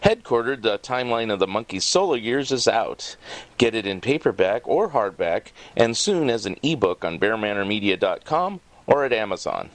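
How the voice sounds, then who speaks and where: A man's voice: lowish voice, sing-song, normal speed, dry recording. A man in his fifties hosting a podcast, giving announcements and putting questions to a guest. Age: 50s. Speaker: podcast host.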